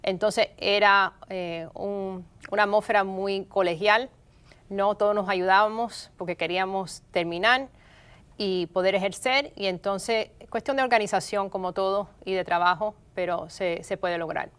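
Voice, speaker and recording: Middle-aged woman, smooth clear voice, measured explanations. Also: mid-range voice, fairly even intonation, a bit bright. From Miami, of Cuban parents. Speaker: guest, state prosecutor. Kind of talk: interview answers.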